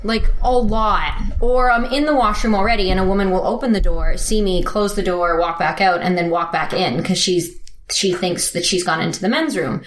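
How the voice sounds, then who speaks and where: A woman's voice: mid-range voice, normal speed, slight background noise. A young woman telling a personal story in casual conversation, with lots of "like" fillers and frequent laughter. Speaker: interviewee. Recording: echoey room.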